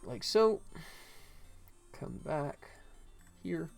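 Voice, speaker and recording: A man's voice: a little high, animated, extremely slow, vocal fry, faint music behind. A man live streaming as a game developer, chatting casually with viewers and muttering to himself as he works. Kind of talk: casual live stream chat while working.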